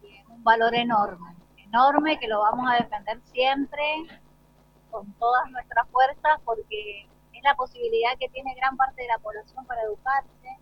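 A woman's voice: fairly high pitch, unhurried. An Argentine woman speaking as a school director in a spontaneous interview, earnest and explanatory. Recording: dull lo-fi live radio recording.

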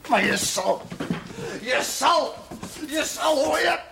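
A high-pitched young man in a 1970s TV drama, bitter and tormented. He mocks and pleads, moving from muttering to outbursts. The soundtrack is slightly noisy. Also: animated, slow, creaky, full character voice. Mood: anguished, raging. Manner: sarcastic, swinging from muttering to outbursts. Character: bitter, tormented young male sinner, mocking and desperate. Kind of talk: dramatic television acting.

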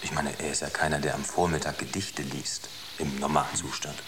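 A man's voice: a bass voice, steady tone, fairly quick. A man playing a puzzled, sceptical spaceship scientist in a naturalistic radio drama, talking in matter-of-fact, clipped phrases. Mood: concerned, insistent. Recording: noisy radio drama recording.

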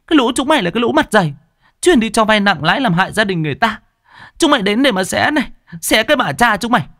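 A man voicing an enraged, grieving young woman in a story, shrill, tearful, defiant and accusing. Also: sing-song, fast talker, fairly bright tone, no room sound, studio quality, exaggerated voice. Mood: defiant rage.

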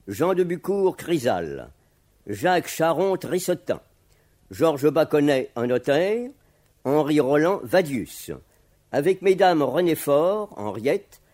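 Animated, higher-pitched male announcer. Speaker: radio announcer. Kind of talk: formal scripted broadcast announcement of cast list.

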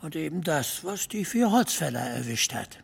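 Audio drama role: needling old man, extremely gravelly, raised pitch, crusty and emphatic. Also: sing-song, normal speed, heavily acted. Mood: needling, ominous. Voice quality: very raspy, gravelly. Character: Whizzer, crusty, contrary old storyteller. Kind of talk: German audio drama acting.

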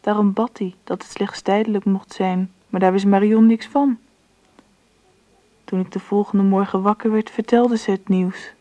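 A woman's voice: medium pitch, steady tone, normal speed, dark tone, close to an everyday voice. An actress playing a reflective grown daughter who narrates her childhood memories in a radio drama.

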